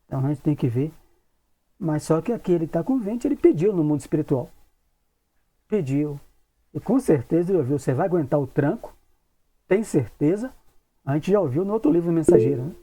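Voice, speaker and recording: Mellow higher-pitched man in his sixties. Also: lively intonation, fairly quick, long pauses. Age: 60s. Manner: conversational, acts out imagined questions, repeats tag questions. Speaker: spiritist study group leader and lecturer. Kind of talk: informal study talk, reading and commenting.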